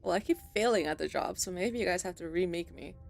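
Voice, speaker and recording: A woman's voice: fairly low pitch, quick pace, creaky. A woman gaming streamer giving casual, sarcastic play-through commentary and talking back to the game. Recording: faint game music behind.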